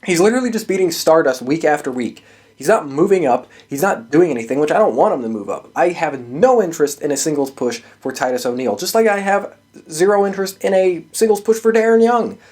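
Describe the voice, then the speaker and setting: A man's voice: on the high side, expressive, brisk, a little mellow. Blunt, sweary man giving casual commentary. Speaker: wrestling podcast host giving predictions. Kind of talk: casual opinionated solo commentary.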